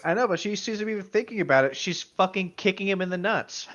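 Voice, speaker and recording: A man's voice: high-pitched, fairly quick, fairly bright tone. A man joking around as a co-host in casual banter, swearing freely, on a slightly dull lo-fi microphone.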